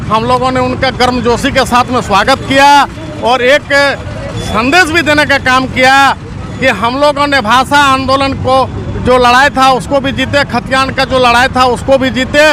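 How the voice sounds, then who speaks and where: A man with a Jharkhand accent speaking as an activist in a political interview, earnest and speech-like. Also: very high voice. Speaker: activist, movement leader. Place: outdoors at a road junction. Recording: noisy outdoor roadside recording. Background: loud traffic and vehicle noise.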